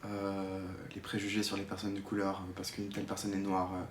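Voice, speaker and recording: A young man around twenty speaks in a fairly low voice. He gives a personal testimony off the cuff, hesitantly, often repeating words as he looks for the next one. The room is clearly echoey, with faint noise underneath. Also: monotone, fairly quick. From Geneva, Switzerland. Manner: hesitant, searching, repeats words while explaining. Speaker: drama student giving a testimony.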